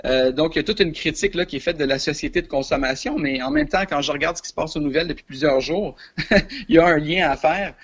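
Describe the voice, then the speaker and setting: Quebec male film columnist, 40s, a bit high, muffled lo-fi sound, halting delivery. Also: a bit bright, slightly rough. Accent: Quebec French. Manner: hesitant, many filler sounds, chuckles. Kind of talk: casual radio chat.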